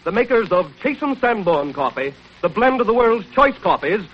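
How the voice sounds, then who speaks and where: Male sponsor announcer, strikingly high projected voice, dull vintage radio recording. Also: sing-song, moderate pace, soft background music. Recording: muffled 1930s radio broadcast.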